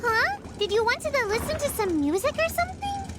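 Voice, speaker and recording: A woman voicing a small, childlike, excitable creature in an animated video game, squeaky, with quirky misplaced words. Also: a little fast, background noise. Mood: puzzled. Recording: loud music behind the voice.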